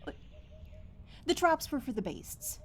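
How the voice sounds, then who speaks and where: A woman acts a lone forest dweller in a fantasy audio drama. Her voice sits a little high, and her delivery is natural and earnest. She sounds guarded and stubborn, picking her words with some hesitation. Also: very animated, unhurried, noisy background, full character voice. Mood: defensive. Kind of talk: fantasy audio roleplay acting.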